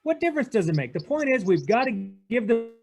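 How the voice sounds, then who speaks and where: Guy doing a hardboiled radio detective, blunt and slangy, pretty high voice, very animated. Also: a little fast, exaggerated voice. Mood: firm. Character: tough, defiant, wisecracking male private detective. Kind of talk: 1940s radio drama acting. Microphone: video call.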